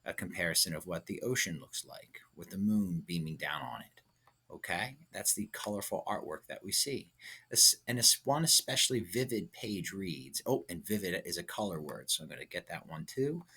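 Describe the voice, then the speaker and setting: A male teacher with a low-pitched, rather raspy voice talks through an instructional example. He thinks aloud step by step and coaches the listener, checking in with short tag questions. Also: animated, moderate pace, bright, forceful tone.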